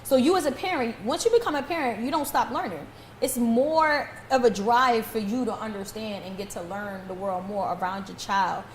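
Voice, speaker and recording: A woman's voice: on the high side, normal speed, roomy sound, slight background noise, poor audio. A woman co-hosting a podcast, talking in a blunt, teasing, opinionated way, recorded in a hair salon. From Guyana. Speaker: podcast co-host. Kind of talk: casual chat.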